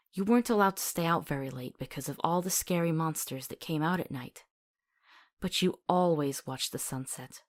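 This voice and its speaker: A woman's voice: sits low, close to an everyday voice. A woman playing a compassionate young doctor in a visual novel, talking tenderly and coaxingly as if telling a soothing story. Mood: tender, wistful.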